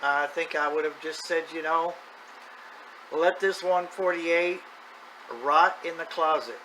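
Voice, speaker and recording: A man's voice: a little high, steady tone, takes it slowly, sharp tone, rough recording. A middle-aged or older man talking in a casual, unscripted, plain-spoken and folksy way as the host of a hobby video. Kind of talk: casual unscripted vlog monologue. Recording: clear background noise, ambient sound.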